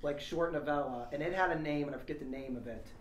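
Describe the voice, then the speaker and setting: A man's voice: on the high side, quick pace. A man co-hosting a story read-along, chatting casually about it. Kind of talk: casual chat. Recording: echoey space with faint background noise.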